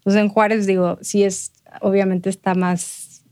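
Agreeable Mexican woman chatting, mid-pitched voice. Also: level tone, a little slow, a little mellow. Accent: Mexican Spanish. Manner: agreeable, chimes in and echoes the other speaker. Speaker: podcast interviewer. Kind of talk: casual conversational interview.

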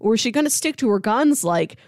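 A woman's voice: on the high side, expressive, fairly quick, darkish tone, no echo, clean studio sound. A woman co-hosting a podcast in casual, chatty conversation, with emphatic run-on asides. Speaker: podcast co-host. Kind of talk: casual TV recap discussion.

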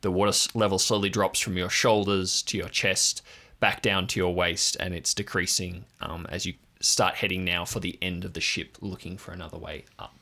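Low, gravelly man narrating as game master. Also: level tone, moderate pace, sharp tone. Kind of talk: improvised scene narration for audio drama.

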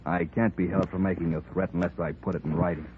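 A man's voice: low voice, little pitch movement, fast talker, narrow, tinny audio, natural voice. A man playing a bitter, sardonic ex-convict who threatens coolly, in a 1950s radio crime drama. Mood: threatening. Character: menacing, bitter male ex-convict bent on revenge. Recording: thin, noisy vintage radio recording.

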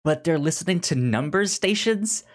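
A podcast co-host talks in a casual, conversational way. The speech stammers, often repeating sounds and whole words before moving on. The pitch sits in a middle range. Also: expressive, moderate pace. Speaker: podcast co-host reviewing audio drama. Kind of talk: casual chat.

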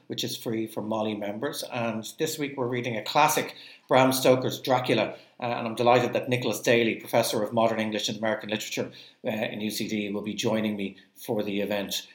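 A man, a museum director, reading formal welcome announcements in a measured way, with occasional fillers. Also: fairly low pitch, fairly even intonation. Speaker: museum director hosting an online event. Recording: roomy echo.

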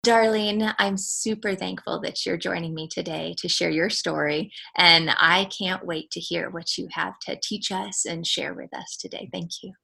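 A woman's voice: fairly low pitch, steady tone, moderate pace, vocal fry, hardly any pauses, sharp tone, husky. A woman hosting an interview show, asking questions and encouraging her guest with frequent affirmations. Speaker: podcast host.

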